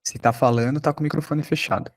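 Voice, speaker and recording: A man's voice: fairly high pitch, fairly even intonation, fast talker, no room sound. Brazilian man teaching informally, dry close sound. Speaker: podcast workshop teacher. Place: online video call.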